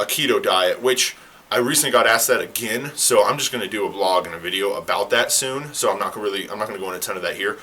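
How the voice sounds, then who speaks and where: A man who is a fitness coach, talking casually to the camera in a rambling, self-correcting way. He sounds slightly hoarse. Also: on the low side, bright, forceful tone. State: slightly hoarse, clearing throat. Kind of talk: casual explainer talk to camera.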